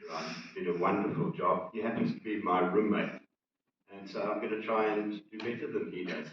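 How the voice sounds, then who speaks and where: A man shares an informal testimonial, deliberately building it phrase by phrase. He is heard from a distance in a very echoey hall. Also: low-pitched, steady tone, muffled recording, slight background noise. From South Africa. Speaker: retreat participant sharing a testimonial. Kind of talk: informal public sharing.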